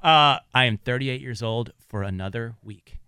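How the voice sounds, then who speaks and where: Male radio co-host in his thirties, bright and forceful tone, dry studio sound, animated, restarts a lot. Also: mid-range voice, normal speed, clean tone, dry recording. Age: 30s. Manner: animated, restarts often, argues a point. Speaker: radio talk show co-host. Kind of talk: casual talk radio discussion. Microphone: studio microphone.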